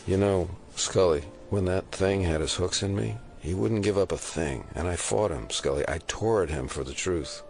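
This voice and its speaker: An actor playing a wry, stubborn federal agent in an audio drama, with a dry, wisecracking delivery. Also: male voice, sits low, moderate pace, vocal fry, few pauses, a little mellow. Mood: earnest. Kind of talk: naturalistic audio drama acting.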